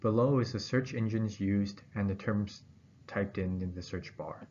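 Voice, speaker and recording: A man reads a scripted academic presentation aloud in a formal, halting way, pausing between phrases. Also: on the low side, a little mellow. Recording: dull, muffled audio with background noise.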